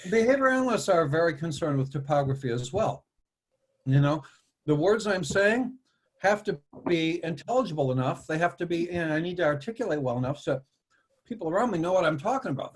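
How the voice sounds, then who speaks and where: A man with a slightly raised, husky voice talks through ideas like a lecturer, wandering into asides as he goes. He is from the United States and speaks as a behavior analyst in a relaxed group chat. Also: sits high. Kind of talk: casual panel discussion.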